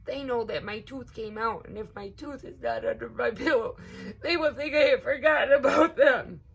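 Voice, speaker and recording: A woman's voice: fairly high pitch, moderate pace, gravelly, fairly bright tone, husky, pitched above the performer's own voice, full character voice. A woman voicing an earnest, anxious, tearful little girl in a storybook reading. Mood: tearful, pleading. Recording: noticeable background noise.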